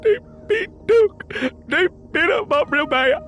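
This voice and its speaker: A man's voice: very high-pitched, animated, takes it slowly, fairly bright tone, soft background music, pitched above the performer's own voice, full character voice. A man voicing a terrified, beaten young woman with a Southern US accent, pleading breathlessly in an audio story. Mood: sobbing, distraught.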